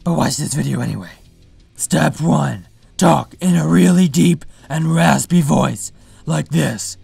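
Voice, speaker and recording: A man playing a brooding, boastful masked superhero in a parody vlog, with a raspy growl and a deadpan, self-important delivery. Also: fairly high pitch, unhurried, no echo, faint music behind, heavily acted. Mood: smug, brooding. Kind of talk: parody vlog monologue to camera.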